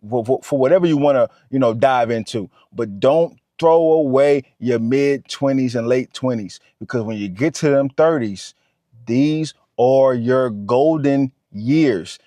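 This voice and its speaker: Animated man in his thirties, dry close sound. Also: mid-range voice, normal speed, no echo. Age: 30s. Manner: animated, repeats himself, talks straight to the viewer. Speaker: vlogger. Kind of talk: motivational talk to camera.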